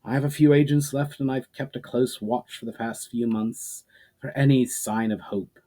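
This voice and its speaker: A man's voice: mid-range voice, steady tone, moderate pace, mellow, close to an everyday voice. Man playing a venomous, scheming sorceress. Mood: bitter, conceding. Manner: incredulous, spitting out words with spite. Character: spiteful, furious scheming sorceress, proud and venomous.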